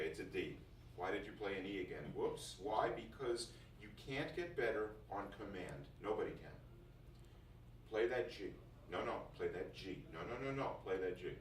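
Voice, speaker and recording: A man giving an informal, jokey first-day talk to music students as their teacher, often repeating phrases for emphasis. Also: fairly low pitch. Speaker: music school teacher addressing new students. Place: indoor classroom. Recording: roomy, distant mic, faint noise.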